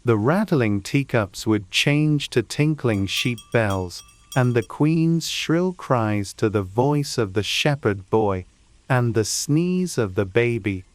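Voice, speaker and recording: A man's voice: medium pitch, animated, takes it slowly, no room sound. A man narrating a classic storybook as a scripted audiobook.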